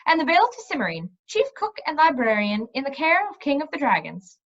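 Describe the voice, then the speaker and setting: A brisk, assertive storybook heroine, acted by a woman reader, with a fairly high and lively voice. She speaks directly and firmly. The sound is a little dull and lo-fi. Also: heavily acted. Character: brisk, assertive female dragon's cook and librarian.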